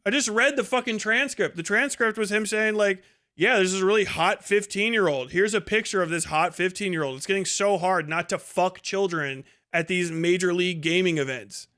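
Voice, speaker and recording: A man's voice: high voice, moderate pace, pushed and bright. A man giving casual, profane live commentary in an exasperated, scornful manner with heavy swearing. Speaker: livestream host reacting to a debate.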